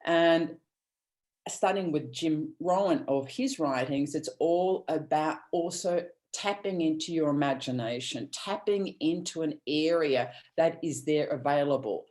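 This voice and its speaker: A woman's voice: sits low, measured pace, darkish tone. A woman from Australia, giving an earnest, rambling motivational talk with many restarts over a video call. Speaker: guest speaker.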